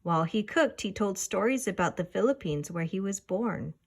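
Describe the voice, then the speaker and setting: A woman voicing a gentle, patient mother in a children's storybook, buttery and encouraging as she explains things to her young daughter. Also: mid-range voice, animated, moderate pace. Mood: fond, gentle.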